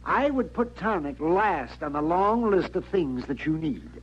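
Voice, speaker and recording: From a muffled old radio western comes a crusty town doctor. His American voice is raspy and somewhat high, delivered with dry, sardonic exasperation. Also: very animated, measured pace, heavily acted. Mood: exasperated, sardonic. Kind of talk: 1950s radio western acting.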